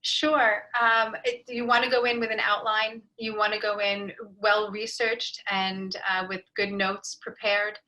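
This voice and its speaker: A woman giving conversational expert advice on a panel, in a measured, articulate, instructive manner. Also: medium pitch, little pitch movement, pushed and bright, rough recording. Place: online video call.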